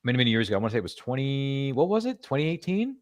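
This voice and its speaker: A man's voice: medium pitch, very animated, racing, no echo, clean studio sound. A man hosting a podcast interview, chatting casually and rambling with playful asides. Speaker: podcast host.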